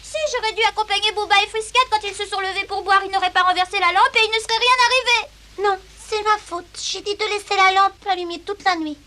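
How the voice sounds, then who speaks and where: A woman's voice: very high-pitched, fairly even intonation, fast, bright, forceful tone, a little hiss or hum. A woman voicing an earnest, affectionate little girl in a French-dubbed cartoon. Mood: tearful, pleading. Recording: music behind the voice.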